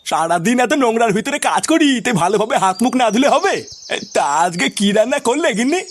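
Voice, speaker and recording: A man's voice: extremely high pitch, expressive, quick pace, a little hiss or hum. A man voicing a grumbling, querulous village grandfather in a comic cartoon dub. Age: older.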